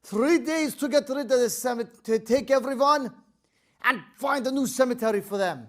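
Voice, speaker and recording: A woman voicing a loud, stern male governor shouting commands in a told story. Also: high-pitched, normal speed. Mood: commanding.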